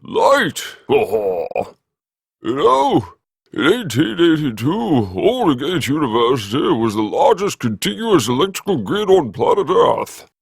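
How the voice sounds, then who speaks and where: A man's voice: fairly high pitch, big pitch swings, a little slow, creaky, husky, no echo, clean studio sound, voice pushed up, exaggerated voice. A man voicing a blustering, pompous old university grandee in an audiobook, exclaiming theatrically and gleefully reminiscing. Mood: gleeful boasting.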